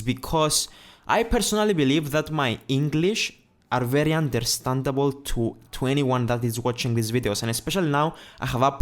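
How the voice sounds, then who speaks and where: Greek-accented young man talking in a gym. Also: mid-range voice. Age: young adult. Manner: earnest, plain wording with restarts. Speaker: fitness youtuber. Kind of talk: casual vlog talk to camera. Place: large indoor gym.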